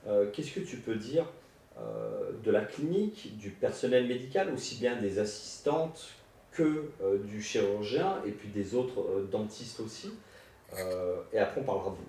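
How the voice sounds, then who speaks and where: Heard from a distance in a very reverberant room with faint noise, a man talks in a dark, mellow voice. He is a clinician interviewing his patient, familiar and conversational. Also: lowish voice, big pitch swings, moderate pace.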